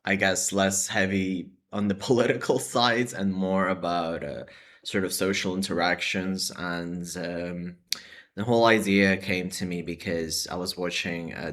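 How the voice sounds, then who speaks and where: Low-voiced young man, hesitant vlog chat. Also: fairly even intonation, unhurried, a bit bright. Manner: hesitant, full of "like" and "sort of" hedges. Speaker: vlogger. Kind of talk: casual to-camera chat.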